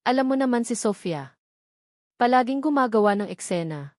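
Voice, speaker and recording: A woman's voice: on the high side, expressive, moderate pace, dry recording, studio quality, lightly acted. A woman with a Filipino accent voicing a guarded, weary, curt businessman in an audiobook drama. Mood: evasive, weary. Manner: cold, evasive.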